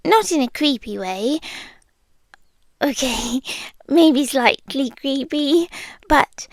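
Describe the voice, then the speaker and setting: A British woman playing a shy, smitten under-the-bed creature in an intimate ASMR roleplay, soft-spoken and often whispery, awkward and deadpan. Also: sits high, slow pace, dry recording, exaggerated voice. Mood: earnest, confiding. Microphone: close dry microphone.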